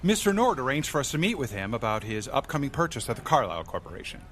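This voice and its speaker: An actor playing a pompous, pushy businessman in a radio drama, wordy and theatrically smooth. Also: mid-range voice, big pitch swings, quick pace, a bit bright, a little hiss or hum. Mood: ingratiating.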